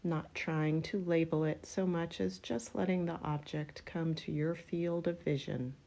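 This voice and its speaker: A woman with a low, mellow voice guides a relaxation meditation. She speaks very slowly in short, gentle phrases, leaving room between them. Her delivery is unhurried and instructional, with a heavy creak in the voice. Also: fairly even intonation. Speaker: yoga nidra meditation guide.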